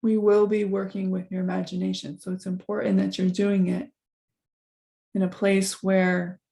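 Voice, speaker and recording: A woman leading a guided meditation, giving soft instructions in a calm, gentle, unhurried manner with long pauses. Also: mid-range voice, gravelly.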